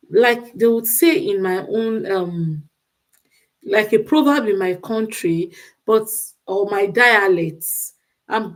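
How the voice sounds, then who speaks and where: A woman with a Nigerian accent talking off the cuff on a livestream, emphatic and repeating phrases for stress. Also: mid-range voice. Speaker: livestream host giving relationship advice. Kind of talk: unscripted livestream monologue.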